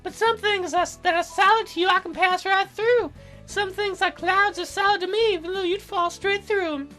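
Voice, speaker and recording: A whimsical young fairy girl , acted out by a man in a very high falsetto, bright and sing-song. She sounds chirpy and needy, a visual novel character with faint music behind. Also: steady tone, normal speed. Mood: earnest.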